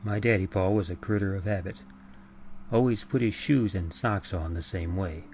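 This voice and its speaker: A man's voice: low voice, moderate pace, lo-fi sound, slight background noise. A man narrating a horror story and announcing the show in a theatrical, menacing style. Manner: theatrical, rhyming intro read with menace. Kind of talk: dramatic horror reading.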